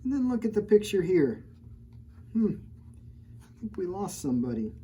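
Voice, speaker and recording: A woman reads a children's storybook aloud in a mellow, warm tone, pausing again and again to joke with the kids and point things out in the pictures. Her storytime delivery is playful and teasing, with faint noise in the background. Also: medium pitch, sing-song, highs cut off. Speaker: children's librarian. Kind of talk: storytime read-aloud with chatty asides.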